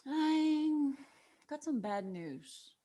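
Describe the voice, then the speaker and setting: A woman's voice: sits high, very animated, drawn-out words, dark tone, exaggerated voice. An actress playing a brash, rebellious twenty-one-year-old woman in a table read, flippant and defiant. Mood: guarded.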